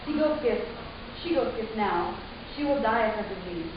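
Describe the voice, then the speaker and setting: A woman playing a composed, protective alien matriarch in a dramatic story reading, speaking in a measured, formal, persuasive way. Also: a little high, lively intonation, natural voice. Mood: grave, calm. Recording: distant, very echoey room, muffled, hissy.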